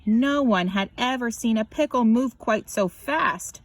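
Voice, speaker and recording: A woman reads a picture book aloud as scripted narration, animated and drawing out words for emphasis. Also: a little high, unhurried, a little hiss or hum. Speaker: children's story reader.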